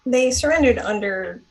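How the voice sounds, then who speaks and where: A woman's voice: on the high side, darkish tone, low-quality recording. A woman chatting casually as a player in a tabletop game, with a tentative way of talking. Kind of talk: casual chat.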